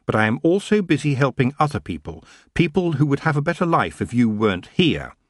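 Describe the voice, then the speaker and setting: A man's voice: medium pitch, big pitch swings, fairly quick, no echo, clean studio sound, pitched above the performer's own voice. A man voicing a stern young witch in a storybook, firm and scolding. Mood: stern challenge. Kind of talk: audiobook character voice.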